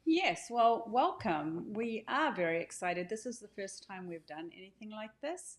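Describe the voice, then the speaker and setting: A woman giving an informal welcome talk as an author and co-host, speaking conversationally and haltingly as she corrects herself. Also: mid-range voice, animated.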